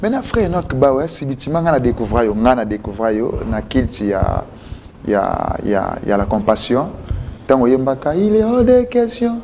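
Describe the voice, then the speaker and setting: Congolese male host, excitable, leaps in pitch, faint hum behind him. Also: normal speed, creaky. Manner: animated, mixes French and Lingala. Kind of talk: live call-in interview.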